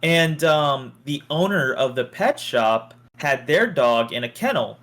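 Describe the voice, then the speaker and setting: A man's voice: fairly high pitch, lively intonation, unhurried, fairly bright tone. A man chatting casually on a podcast and telling everyday stories, with some casual swearing. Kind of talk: casual podcast chat and storytelling.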